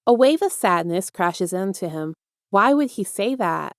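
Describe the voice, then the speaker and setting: A woman's voice: medium pitch, lively intonation, unhurried, no echo, clean studio sound. A woman reading scripted fiction aloud as the narrator of an audio story. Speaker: podfic narrator.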